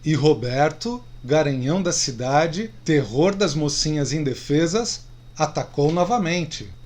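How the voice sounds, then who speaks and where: Actor as high-pitched mocking Brazilian drinking buddy. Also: male voice, animated, a little slow, a little mellow. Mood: mocking, eager. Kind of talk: radio drama dialogue.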